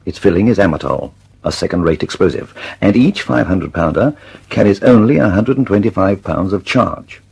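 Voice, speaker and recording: A man's voice: sits low, lively intonation, normal speed, vocal fry, darkish tone, breathy edge, full character voice. An actor playing an earnest, impatient British male inventor in a radio drama, speaking emphatically and precisely. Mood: emphatic.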